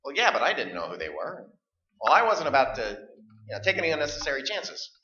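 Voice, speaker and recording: An actor playing a stubborn, defensive middle-aged husband in a staged radio play, arguing and stammering. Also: male voice, mid-range voice, wildly swooping pitch, brisk, pushed and bright. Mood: grumbling. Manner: stammering, argumentative. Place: live stage performance in a room. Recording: dull, echoey stage recording.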